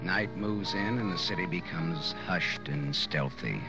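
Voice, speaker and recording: Low-voiced hard-boiled radio detective. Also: male voice, unhurried. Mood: wry, moody. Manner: sardonic, slangy, wisecracking. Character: hard-boiled wisecracking male private detective narrator. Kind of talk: 1940s radio noir acting, first-person narration. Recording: dull old-time radio recording, loud music.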